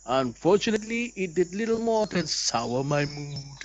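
A man's voice: fairly high pitch, big pitch swings, moderate pace, dull audio, slight background noise. A young man reads a visual novel aloud as a let's play host, with dramatic expression and asides.

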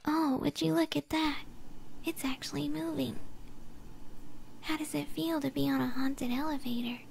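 A woman playing a creepy, lonely young occultist who loves ghosts, whispering slowly and coaxingly in an ASMR roleplay. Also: sits high, fairly even intonation, a little mellow, slight background noise. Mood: softly excited, eerie. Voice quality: breathy, raspy whisper.

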